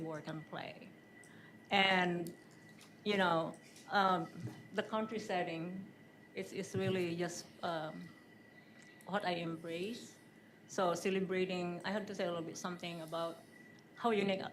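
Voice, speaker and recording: A woman's voice: lowish voice, fairly even intonation, unhurried, a little hiss or hum, poor audio. Chatty woman from the Philippines. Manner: chatty, with frequent ums and laughs. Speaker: job candidate. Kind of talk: answering interview questions.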